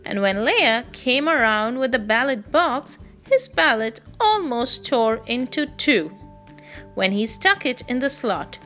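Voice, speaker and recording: A woman narrating a children's story aloud. Her voice is high and very lively, slowly and expressively delivered, with music behind it. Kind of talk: animated picture-book read-aloud.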